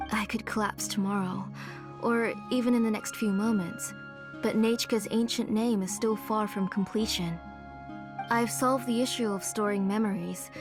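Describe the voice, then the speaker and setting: An actress playing a weary, devoted elderly aunt who speaks in a soft, tired, measured way in a video game. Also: female voice, fairly high pitch, natural voice. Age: older. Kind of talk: video game dub acting. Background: game music.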